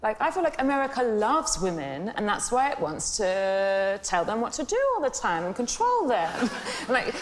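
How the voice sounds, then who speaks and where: A woman's voice: mid-range voice, big pitch swings, normal speed, slight background noise. A woman who is a guest on a comedy panel, delivering playful, ironic punchlines.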